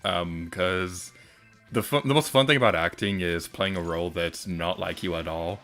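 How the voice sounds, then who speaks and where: A soft-spoken young man streaming and chatting casually, hesitant, with many pauses and ums. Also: sits low, faint music behind.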